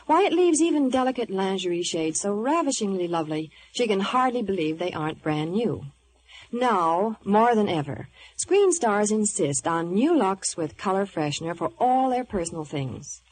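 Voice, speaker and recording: Animated vintage radio pitchwoman. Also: normal speed. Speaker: radio movie news reporter and pitchwoman. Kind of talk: scripted 1950s radio advertising. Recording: noisy vintage radio broadcast.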